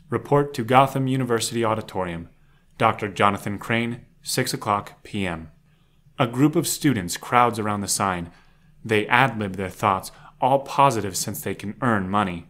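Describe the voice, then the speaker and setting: Casting: male narrator for scripted drama, fairly low voice, reading scene descriptions. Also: fairly bright tone.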